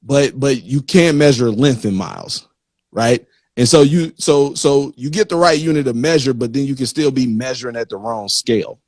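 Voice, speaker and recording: A man hosting a casual interview, talking informally with slang in a halting way and often checking that he is followed. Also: mid-range voice, darkish tone, no echo, clean studio sound. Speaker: interviewer, magazine host.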